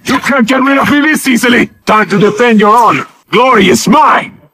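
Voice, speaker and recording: An actor voicing a suave, cocky robot duelist in a video game, with haughty, theatrical gallantry. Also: male voice, extremely high pitch, moderate pace, pushed and bright, husky, full character voice. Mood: haughty, taunting.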